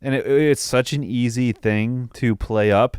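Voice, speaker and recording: A man's voice: mid-range voice, fairly even intonation, unhurried, a little mellow, clean tone, no echo, studio quality. A man co-hosting a podcast, giving opinionated, mildly profane takes in casual movie-review chat. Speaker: podcast co-host.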